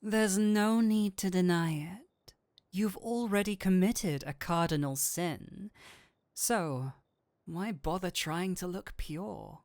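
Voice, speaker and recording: A man playing a flirtatious incubus demon in a roleplay audio drama, with a teasing, theatrical, drawn-out delivery. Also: sits high, darkish tone, no echo, studio quality. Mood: seductive, reassuring. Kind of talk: scripted roleplay audio drama, addressing the listener.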